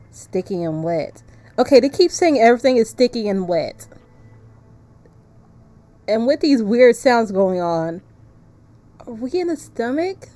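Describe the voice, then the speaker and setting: A woman with a soft-edged, mellow voice streams a narrative game. She moves between casual commentary and reading the text aloud, at a middling pitch for a woman. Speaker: streamer playing a visual novel.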